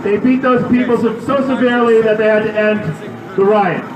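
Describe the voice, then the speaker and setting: A man delivering a loud protest speech at an outdoor rally, firing questions at the crowd. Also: high voice, steady tone, a little fast. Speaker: rally speaker. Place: outdoor city square. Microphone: public address system heard from a distance. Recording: echoey outdoor PA from far off.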